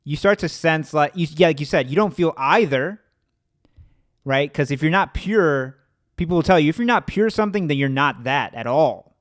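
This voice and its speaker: Chicago man in his thirties hosting a chat show, slightly high voice, animated and quick to laugh, dry close mic. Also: dry recording. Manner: animated, laughs often, trails into restarts. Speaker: podcast host. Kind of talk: casual conversation.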